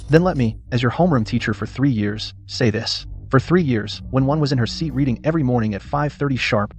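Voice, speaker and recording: A man's voice: medium pitch, a little mellow, lightly acted. A man playing a stern homeroom teacher in a melodrama, formal and authoritative. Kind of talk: dubbed Chinese melodrama audio.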